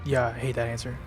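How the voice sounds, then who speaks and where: A man with a British accent talking casually as a player in a tabletop roleplaying game. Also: mid-range voice.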